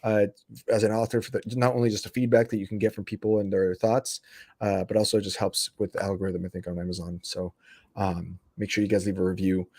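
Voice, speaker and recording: A man hosting a podcast in casual chat, upbeat and rambling, with many fillers and restarts as he gives shout-outs. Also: lowish voice, flat delivery, quick pace, no room sound, studio quality. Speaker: podcast host.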